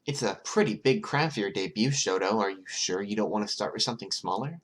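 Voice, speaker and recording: Casting: young male strategist read by a man, friendly, calculating, calm, supportive, story dialogue. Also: on the low side, brisk, natural voice. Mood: understanding.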